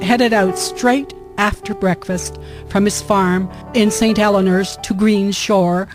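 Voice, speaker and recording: Female narrator for historical radio drama: measured, formal storytelling, mid-pitched, instrumental music clearly behind. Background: guitar music.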